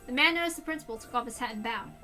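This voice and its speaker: Keiichi, a woman voicing a teenage boy, hoarse. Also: fairly high pitch, racing, faint music behind. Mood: brooding. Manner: swings from musing to shouting at himself. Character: teenage boy narrator, jumpy and self-blaming.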